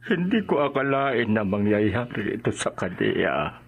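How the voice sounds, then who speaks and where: A grieving older father in a radio drama. His voice is fairly low, and his delivery is sorrowful and resigned. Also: very animated, unhurried, husky, phone-quality sound, full character voice.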